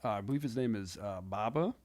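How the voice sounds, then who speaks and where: A man chatting casually as a comedian guest on a podcast, talking in a halting, tangential way with frequent self-corrections. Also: fairly low pitch, darkish tone.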